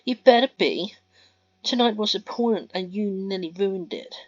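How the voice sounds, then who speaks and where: A shrill, bossy young woman spits insults and orders, put on by a man raising his voice high and loud. The sound is dry and a little muffled. A faint guitar plays behind. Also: expressive, fairly quick, dry recording. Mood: furious. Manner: snapping, shouting insults. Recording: dull lo-fi audio, faint guitar music.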